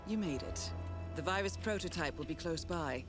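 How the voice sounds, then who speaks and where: A woman's voice: on the low side, expressive, normal speed, lightly acted. A woman playing a composed mission handler who guides an assassin in a video game. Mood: composed, instructive. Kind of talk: scripted video game briefing. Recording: loud music behind. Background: game music.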